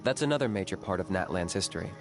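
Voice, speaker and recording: Calm young hunter guy, lowish voice, even and unhurried, faint music under it. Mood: explaining. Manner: calm, measured, even-tempered.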